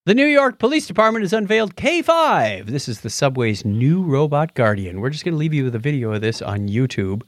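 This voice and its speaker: A man's voice: fairly high pitch, lively intonation, brisk, few pauses, no room sound, studio quality. A man hosting a podcast in a casual style, with a wry, wisecracking manner. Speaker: podcast host.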